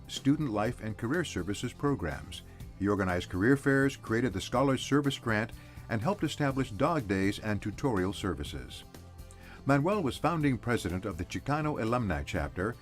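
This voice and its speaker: A man reading a scripted biography narration in a steady, informative way. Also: lowish voice. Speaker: video narrator.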